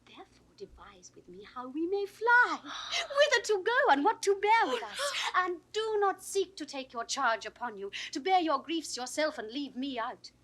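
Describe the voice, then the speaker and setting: Spirited young noblewoman, British accent, high-pitched, bright tone, impassioned, articulate, classical audio drama. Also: very animated, moderate pace, hardly any pauses, low-quality recording. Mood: tender, urgent. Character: devoted, spirited young noblewoman, the duke's daughter. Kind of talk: 1960s Shakespearean audio drama acting.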